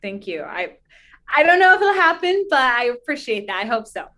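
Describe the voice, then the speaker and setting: A woman's voice: on the high side, sing-song, brisk, sharp tone. A young American woman giving conversational interview answers as a podcast guest. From Indiana, United States. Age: young adult. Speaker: podcast guest, author and pageant titleholder.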